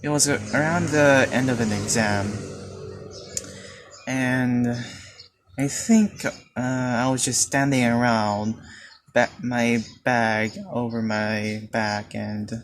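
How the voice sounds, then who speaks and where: A teenage Filipino man telling a personal story in a hesitant, halting way, with many fillers and restarts. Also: medium pitch, steady tone. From Philippines. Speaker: student. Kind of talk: personal confession monologue.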